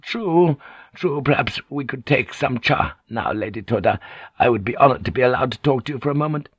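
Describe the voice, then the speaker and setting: Man doing a sly, plaintive woman, pitched up. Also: lively intonation, brisk, breathy edge, dry recording, muffled recording. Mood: sly courtesy. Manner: unctuous, plaintive, insinuating. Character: sly, aggrieved woman, falsely courteous and complaining. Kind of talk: audiobook character dialogue.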